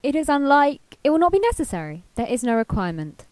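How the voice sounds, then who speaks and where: A woman playing a sardonic artificial intelligence in an amateur radio drama, speaking formally and deadpan. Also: high-pitched, quick pace, no echo. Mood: coolly matter-of-fact. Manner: formal, deadpan announcements with dry sarcasm. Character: sardonic female machine intelligence with a programmed personality. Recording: clearly noisy homemade recording with clicks.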